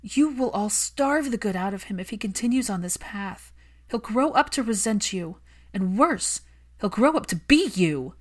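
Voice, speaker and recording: A woman's voice: medium pitch, expressive, normal speed, pitched above the performer's own voice, natural voice. A woman voicing an outspoken, emotional and indignant female caregiver in a story, with an emphatic, argumentative delivery. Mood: angry, tearful.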